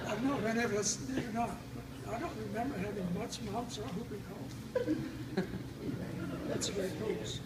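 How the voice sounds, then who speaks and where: An older man telling family stories informally, in a folksy, halting, reminiscing way. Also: extremely high pitch. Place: family gathering, recorded from a distance. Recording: roomy, distant mic, loud background noise.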